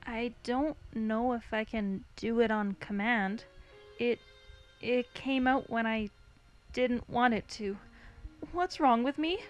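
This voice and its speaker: High-voiced, anxious young heroine played by a woman. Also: takes it slowly, lo-fi sound, faint music behind. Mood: anxious, halting. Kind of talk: dramatized fantasy story reading.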